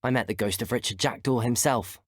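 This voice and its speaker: An actor playing an earnest, determined young British student wizard, speaking articulately and politely as a video game hero. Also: male voice, medium pitch, brisk, no room sound, studio quality, natural voice. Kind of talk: video game character dialogue.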